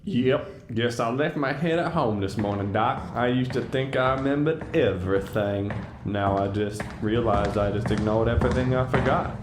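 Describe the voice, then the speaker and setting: A man's voice: mid-range voice, moderate pace, few pauses, noisy background, natural voice. A man acting a shy, absent-minded bachelor professor in a radio comedy, with a hesitant, folksy, wry delivery. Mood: sheepish, wry.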